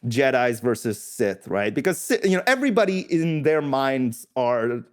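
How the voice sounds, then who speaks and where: A man from India talks as a guest on a casual podcast, explaining things thoughtfully and often correcting himself mid-sentence. His voice sits at an everyday middle pitch. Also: sing-song, moderate pace.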